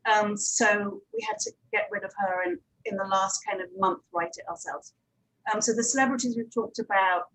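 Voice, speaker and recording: A woman's voice: mid-range voice, a bit bright, rough recording. A woman giving informal, practical advice as a speaker on a conference panel. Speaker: panel speaker, audio drama producer.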